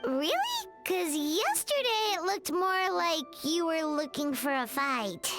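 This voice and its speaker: A woman voicing a tiny, excitable girl companion in a video game, chirpy and quick to blurt out reactions. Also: very high voice, music in the background, exaggerated voice.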